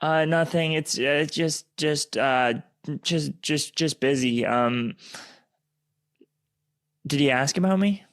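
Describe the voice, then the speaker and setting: A man acts a flustered young friend taking a call in a radio play. The voice sits a little high, hesitant and evasive, stumbling over words. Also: takes it slowly, pauses often, clean tone, dry recording, clean studio sound. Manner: hesitant, stammering.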